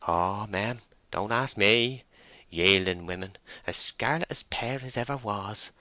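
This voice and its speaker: A man's voice: low voice, moderate pace, gravelly, sharp tone, no room sound, heavily acted. Man playing a meddling, grumbling West Country farmhand. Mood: grumbling, confiding. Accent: English West Country. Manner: confiding, groaning, disapproving. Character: meddling, grumbling, sly male farm labourer.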